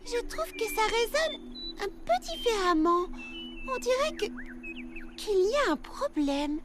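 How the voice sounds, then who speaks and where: A kind young cartoon heroine speaks in an extremely high girlish voice. She sounds responsible and earnest, a natural encourager. Loud music runs behind her. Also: very animated, unhurried, heavily acted. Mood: concerned. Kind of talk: French children's cartoon dub.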